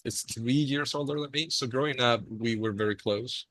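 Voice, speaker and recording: A man's voice: medium pitch, moderate pace, fairly bright tone, dry recording. A man from Chile, a podcast guest telling his story in a friendly, casual way with plenty of ums and uhs. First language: Spanish.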